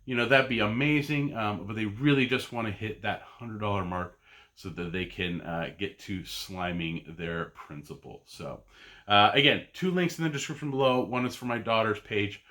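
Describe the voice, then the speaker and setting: A man's voice: fairly low pitch, normal speed, bright, forceful tone. A middle-aged man talking in a casual solo vlog, rambling with many ums, uhs and restarts. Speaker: podcast host and comic buyer.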